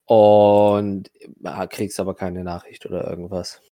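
A man's voice: sits low, little pitch movement, a little slow, warm tone, no room sound, clean studio sound. A man improvising narration as the game master of a tabletop role-playing game.

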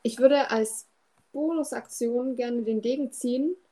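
Slightly high-voiced woman, tentative game player. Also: measured pace, warm tone. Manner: tentative, questioning. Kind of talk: casual game chat.

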